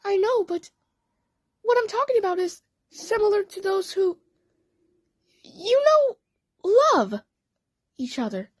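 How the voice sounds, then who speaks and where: A woman's voice: very high voice, very animated, takes it slowly, long pauses, dry recording, clean studio sound, pitched above the performer's own voice, full character voice. A woman voicing a girlish, dramatic and bashful female mermaid cat in a cartoon story. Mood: bashful, worried.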